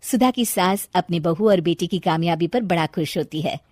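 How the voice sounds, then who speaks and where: A woman's voice: on the low side, normal speed, darkish tone, no echo, clean studio sound. A woman narrating a scripted story as its storyteller.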